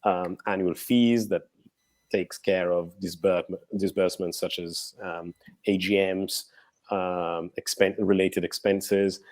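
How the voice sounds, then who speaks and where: A man from Italy with an Italian accent, a lawyer and podcast guest, talking in a chatty, joking way with halting fillers over a video call. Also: sits low, fairly even intonation, darkish tone, dry recording.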